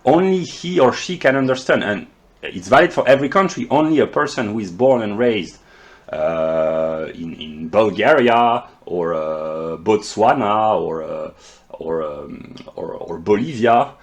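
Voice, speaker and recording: Frenchman talking halting philosophy in English. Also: lowish voice, animated. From France. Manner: halting, stammers and repeats words while searching for terms; measured, explanatory, professorial. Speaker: philosophy commentator. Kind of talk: unscripted philosophical monologue.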